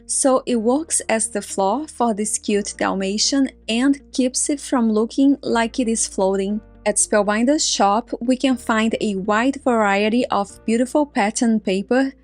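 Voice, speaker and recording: A woman whose first language is Portuguese, giving a calm, step-by-step scripted crafting tutorial voice-over. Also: a little high, a little slow, few pauses, faint music behind.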